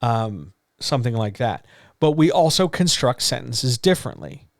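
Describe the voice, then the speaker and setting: Deep-voiced guy explaining writing stuff, lots of ums and likes, dry close mic. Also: very animated, moderate pace, vocal fry, no room sound. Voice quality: deeper voice. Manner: loose, conversational, full of fillers like so, like and um. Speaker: writing coach. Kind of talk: live-streamed Q&A lesson.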